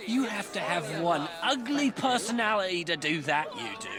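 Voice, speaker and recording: A chatty pub-goer from a game cutscene. The voice is high-pitched, gossipy and emphatic, full of opinion. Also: male voice, expressive, normal speed, a crowd in the background. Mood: disapproving. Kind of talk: video game cutscene acting.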